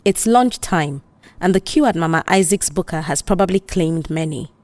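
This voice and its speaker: A woman's voice: on the low side, moderate pace, a little mellow, no echo. A woman with a Nigerian accent narrating a scripted audio drama.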